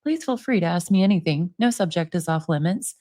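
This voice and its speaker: A woman in her forties who hosts a commentary channel, reading emails aloud in a steady, measured voice and then chatting casually between them. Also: on the low side. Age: 40s.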